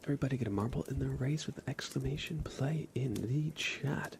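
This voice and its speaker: A man hosting an ASMR livestream, chatting casually with viewers in a soft, breathy half-whisper, sometimes drawing words out and repeating them. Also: medium pitch, fairly quick, noisy background. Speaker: livestream host.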